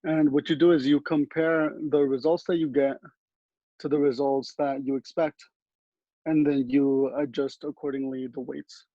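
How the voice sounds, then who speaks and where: Young male student presenter, relaxed mellow voice, little pitch movement, dry lo-fi sound. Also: moderate pace, no room sound. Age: young adult. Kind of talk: explanatory project presentation. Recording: dull, dry lo-fi audio.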